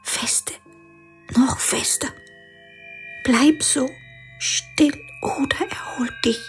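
An actress playing a poor, restless young mother in a radio drama, speaking in a breathy half-whisper. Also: sits high, steady tone, very slow, faint music behind. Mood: wistful, hushed.

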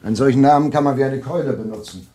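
An actor playing a dry, confident schemer in a radio drama thriller. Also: male voice, medium pitch, racing, dark tone, breathy edge, lightly acted. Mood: assured.

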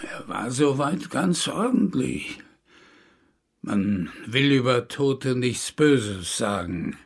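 A grumbling baker and landlord in a radio drama, slow and husky, with plenty of creak. He hedges and hesitates, guarding his respectability. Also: mid-range voice, expressive, heavily acted. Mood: reluctant, uneasy. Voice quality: gravelly, husky. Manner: hesitant, evasive, drawn-out. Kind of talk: German radio drama acting.

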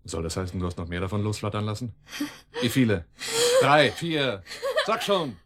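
A deep-voiced, menacing crime boss. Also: male voice, sing-song, fairly bright tone. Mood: alarmed, angry. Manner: commanding, sardonic, deliberate. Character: cold, menacing male crime boss, calmly cruel. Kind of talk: German radio drama acting.

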